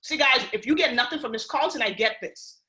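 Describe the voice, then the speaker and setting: A young Bahamian woman with a Bahamian accent gives a motivational pitch to a conference call, animated and casual, often checking in with "right?". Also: a little fast, reverberant. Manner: animated, keeps asking "right?" and "y'all", keeping it real. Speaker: speaker on a recruiting call.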